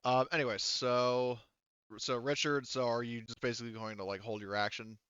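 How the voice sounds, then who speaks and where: A man acting as game master, narrating play and rules casually in a halting, thinking-aloud way. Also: mid-range voice, little pitch movement, a bit bright, smooth, dry recording, highs cut off.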